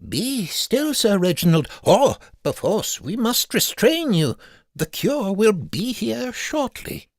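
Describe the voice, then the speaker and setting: A man's voice: sits high, very animated, takes it slowly, no room sound, pitched above the performer's own voice, exaggerated voice. A man acts out a Victorian doctor in a comic audio story, solemn and fussy. His formal speech rises and falls theatrically, in a close, dry sound. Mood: alarmed, urgent. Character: grave, formal, fussy male Victorian physician.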